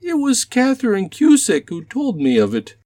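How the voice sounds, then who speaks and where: A woman's voice: on the high side, huge pitch swings, moderate pace, warm tone. A woman playing a small, timid, guilty man in a Victorian detective drama, agitated and servile in manner. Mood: nervous, eager.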